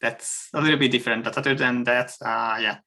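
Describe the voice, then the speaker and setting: Gravelly Hungarian-accented man, hesitant. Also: medium pitch, fairly even intonation, a little fast, bright, forceful tone. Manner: hesitant, many fillers and restarts. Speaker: guest engineer. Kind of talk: casual chat. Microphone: video call.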